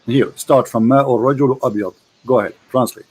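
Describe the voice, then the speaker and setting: A man's voice: mid-range voice, steady tone, racing, husky. A man hosting a heated debate, pressing his caller with insistent, repeated questions. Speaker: debate host. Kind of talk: heated debate over a call.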